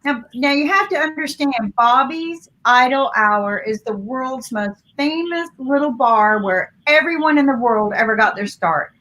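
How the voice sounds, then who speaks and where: A woman panelist telling a story in a lively, chatty way, laughing as she goes. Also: sits high, measured pace, few pauses, poor audio. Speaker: panelist, music producer. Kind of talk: casual storytelling.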